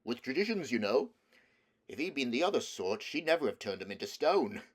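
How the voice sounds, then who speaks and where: A man's voice: mid-range voice, very animated, fairly quick. A man voicing a genteel, chatty faun with a knowing manner in a storybook. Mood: knowing, approving.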